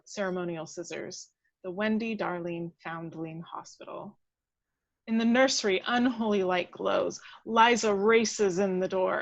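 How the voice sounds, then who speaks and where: A woman's voice: medium pitch, no room sound. A woman reading dramatic action descriptions as scripted narration at a table read, on a lo-fi recording.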